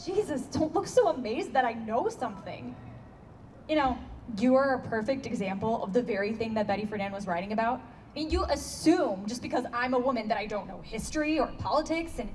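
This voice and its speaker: A woman in her twenties playing a sharp, sardonic, bookish young woman in a staged reading, pointed and challenging. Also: fairly high pitch, expressive, a little fast, close to an everyday voice. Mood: indignant. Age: 20s. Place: outdoor stage against a block wall. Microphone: handheld stage microphone, heard from afar. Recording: distant handheld mic, noticeable background noise.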